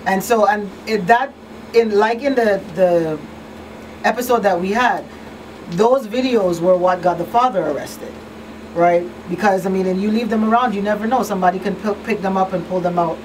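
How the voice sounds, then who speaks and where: A middle-aged woman hosting a casual talk show discussion. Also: medium pitch. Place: small radio studio. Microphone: studio microphone. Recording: distant mic, faint room hum.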